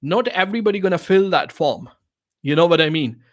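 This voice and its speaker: A man's voice: on the high side, animated, fairly quick. A man whose first language is Urdu, hosting a live question-and-answer stream and giving casual business advice in loose, filler-heavy English. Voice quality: non-native English phrasing. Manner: conversational, many fillers and restarts, asks "you know what I mean".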